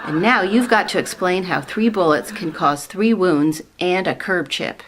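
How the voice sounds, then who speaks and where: A woman's voice: on the low side, measured pace, a little hiss or hum, natural voice. A woman playing a knowing, skeptical government colleague in a sitcom radio play, dry and insistent. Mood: scolding.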